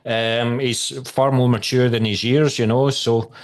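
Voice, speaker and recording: A man's voice: mid-range voice, level tone, a little slow. A man interviewing a band over a video call, asking long rambling questions with many ums.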